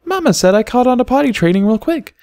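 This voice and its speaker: Storybook pony foal, little girl, played by a man: high-pitched, innocent, curious, earnest. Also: expressive, fast, darkish tone, no room sound, clean studio sound. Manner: childlike, earnest.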